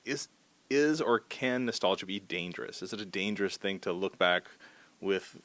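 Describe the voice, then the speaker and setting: A man's voice: deep voice, lively intonation, normal speed, fairly bright tone. A man hosting a conversational podcast interview, speaking informally with hesitant phrasing.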